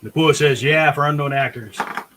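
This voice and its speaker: A man's voice: on the high side, normal speed, bright, forceful tone, a little hiss or hum. A man talking informally as a regular on a drawing show. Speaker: drink-and-draw show regular. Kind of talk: casual chat.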